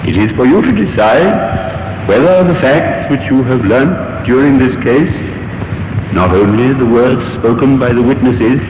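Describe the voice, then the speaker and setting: A man's voice: medium pitch, expressive, close to an everyday voice. A man playing a solemn, formal judge addressing a jury in an old-time radio drama. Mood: grave, formal. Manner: grave, measured, formal. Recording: echoey, muffled, noisy old radio recording.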